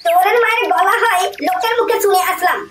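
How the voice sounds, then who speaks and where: A performer voicing an excitable, crude, quarrelsome young village man in a comic cartoon, with a pitched-up effect. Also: quick pace, noisy background. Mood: angry, bitter. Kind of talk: comic cartoon dialogue with pitch-shifted voices.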